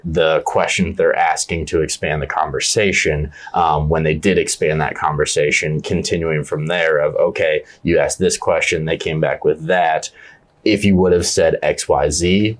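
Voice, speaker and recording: Deep-voiced earnest young man. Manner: earnest and enthusiastic, repeats "yeah, absolutely". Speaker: team leader in agent outreach. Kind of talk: conversational interview answers.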